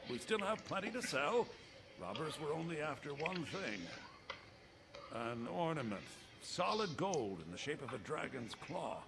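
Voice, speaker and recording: An actor playing a fussy, excitable shopkeeper in a video game, recorded with noise behind him. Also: male voice, fairly high pitch, measured pace, vocal fry, heavily acted. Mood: flustered, apologetic. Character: excitable shopkeeper man, fussy with his sister, grateful. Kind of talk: video game character acting.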